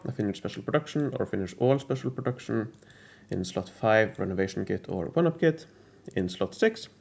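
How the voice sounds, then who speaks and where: A man explaining a game strategy in a casual, methodical walkthrough, his voice a bit rough from a cold, pausing often with uh. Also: mid-range voice, darkish tone. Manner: methodical, hesitant with frequent uh fillers. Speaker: strategy game guide creator.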